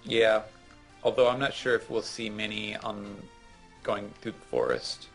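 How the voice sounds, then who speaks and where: A man co-hosting a gaming show, chatting casually and explaining things with hesitant pauses and fillers. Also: on the low side, very animated, fairly bright tone, soft background music. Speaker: gaming co-host. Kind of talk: casual chat, game advice.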